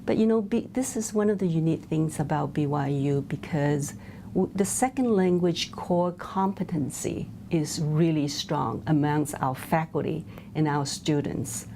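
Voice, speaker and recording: A woman's voice: deep voice, a little slow, warm tone, a little hiss or hum. A woman who runs a university language program, explaining it in an interview with fillers and restarts. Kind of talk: interview answers.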